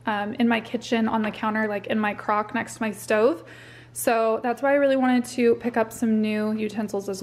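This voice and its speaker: A young woman giving a chatty, upbeat voice-over for a home vlog, with filler words like "um" and "like.". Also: on the high side. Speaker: home vlogger. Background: faint background music.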